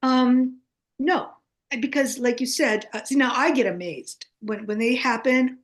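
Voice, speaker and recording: An older American woman, a native Greek speaker, talking as a guest in a casual interview, halting with many fillers and restarts. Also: high voice. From United States.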